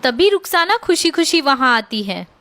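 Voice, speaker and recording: Casting: female children's-story narrator, high and bright, scripted delivery over faint music. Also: big pitch swings, normal speed.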